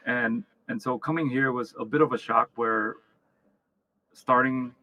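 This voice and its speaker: A man's voice: mid-range voice, steady tone, no echo, muffled recording. A man from Vietnam and upstate New York giving conversational interview answers as a company founder, restarting often and checking in with "right?". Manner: halting, repeats words, often checks in with "right?". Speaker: company founder and chief executive, interview guest.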